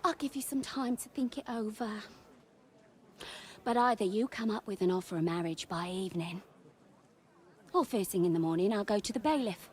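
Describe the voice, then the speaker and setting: A woman's voice: medium pitch, moderate pace, pauses often, a little mellow, natural voice. A woman acting a brash, scorned woman in a video game drama, theatrical and defiant. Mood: defiant, threatening. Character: brash scorned woman staging a loud scandal.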